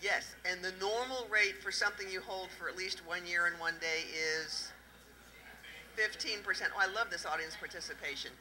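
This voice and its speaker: Bright-voiced Southern woman quizzing a crowd. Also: lowish voice, normal speed, low-quality recording. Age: middle-aged. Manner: lively, quizzing the audience with questions. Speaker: tax accountant presenting at a panel. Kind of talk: interactive talk to a live audience. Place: event room, indoors. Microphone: handheld microphone.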